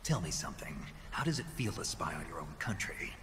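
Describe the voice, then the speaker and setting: An actor playing a terse, middle-aged soldier spy in a video game, gravelly, gruff and deadpan. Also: male voice, deep voice, brisk, noisy background. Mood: dry, curious. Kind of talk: cinematic video game voice acting. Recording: reverberant hall, music underneath.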